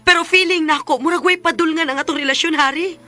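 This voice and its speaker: A woman's voice: extremely high pitch, steady tone, quick pace, bright, forceful tone. A woman acting a restless, evasive young girlfriend in a radio drama, speaking with a Cebuano Filipino accent. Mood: weary, blunt. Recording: radio drama over music bed.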